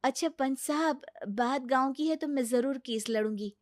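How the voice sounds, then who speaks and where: A woman's voice: sits high, normal speed, close to an everyday voice. A woman playing a spirited young married lawyer in a Hindi story cartoon, pert and plain-spoken with her in-laws. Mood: confident. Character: young, newly married lawyer daughter-in-law, spirited, exasperated.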